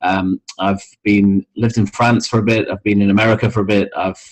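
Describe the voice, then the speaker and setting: A man's voice: lowish voice, level tone, brisk, low-quality recording. A man from Scotland with a mild, mixed international accent, a charity head talking casually in an interview over a video call, with many ums and restarts. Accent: mixed international, mild Scottish. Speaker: charity CEO, interview guest.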